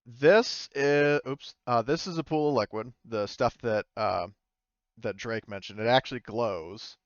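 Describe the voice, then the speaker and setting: A man's voice: medium pitch, a little slow, no room sound, highs cut off. A man running a tabletop game as game master, talking informally and swearing casually. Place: close, dry mic in an online game session.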